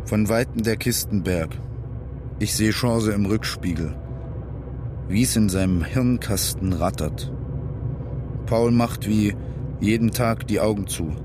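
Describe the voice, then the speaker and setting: Radio drama narrator: working man at the wheel, brooding and observant, low unhurried delivery. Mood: brooding, watchful. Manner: terse, observant. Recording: rumbling car noise behind voice.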